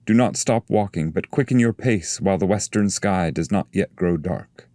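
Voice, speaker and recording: A man's voice: deep voice, normal speed, dark tone, no room sound, studio quality, voice pushed up, lightly acted. A man voicing a radiant angel of light in a literary reading, exhorting travelers with urgency. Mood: urging.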